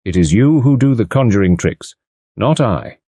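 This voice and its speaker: A man voicing a tall, mysterious gentleman who speaks calmly, gently and enigmatically. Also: lowish voice, normal speed, dry recording, pitched above the performer's own voice. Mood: enigmatic.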